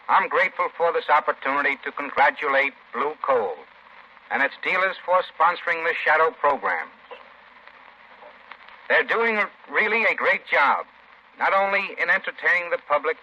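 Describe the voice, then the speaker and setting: A man's voice: a little high, pushed and bright. An American man giving courteous, formal scripted remarks as an official guest on 1930s radio. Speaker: guest, district attorney official. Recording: muffled 1930s radio broadcast.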